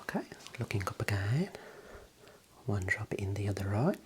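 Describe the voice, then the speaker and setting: A man speaking softly and breathily, often dropping into a whisper, playing an eye clinician in a gentle, reassuring ASMR role play. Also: fairly low pitch, very animated, normal speed, a little hiss or hum. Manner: gentle, procedural, reassuring instructions.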